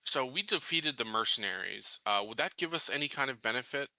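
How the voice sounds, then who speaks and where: A man's voice: medium pitch, animated, brisk, bright, forceful tone, dry recording, low-quality recording. A man playing a tabletop game, talking casually and asking about the rules. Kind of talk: casual questions and chat.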